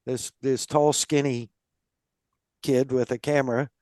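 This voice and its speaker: Podcast host guy, smooth clear voice, rambles w lots of ums. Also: medium pitch, level tone, a little slow, darkish tone, no room sound, studio quality. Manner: rambling, many fillers and restarts. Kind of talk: casual interview chat.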